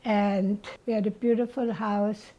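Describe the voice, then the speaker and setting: A woman, telling her childhood story as an interviewee in a slow, reflective way. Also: medium pitch, steady tone, mellow, low-quality recording. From Germany. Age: 80s. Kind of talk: reminiscing about childhood.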